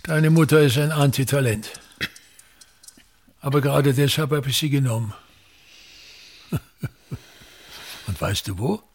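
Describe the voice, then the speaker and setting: A man's voice: medium pitch, takes it slowly, long pauses. An actor in a radio play as a pompous, self-pitying older theatre director and father, grandiloquent and complaining, repeating himself. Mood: imperious, instructing.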